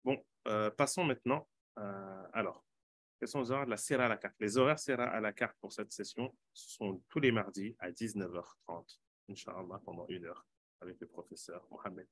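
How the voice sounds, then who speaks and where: A man's voice: on the low side, normal speed, fairly bright tone. A man hosting an online teacher panel, chatty and often correcting himself. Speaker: host.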